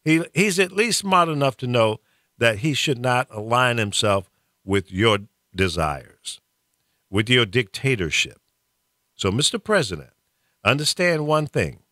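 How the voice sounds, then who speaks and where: Man, blunt talk-radio ranter: animated delivery, dry close sound. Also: mid-range voice, unhurried, no room sound. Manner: blunt, fiery, stammers and repeats words. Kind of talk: political rant on talk radio.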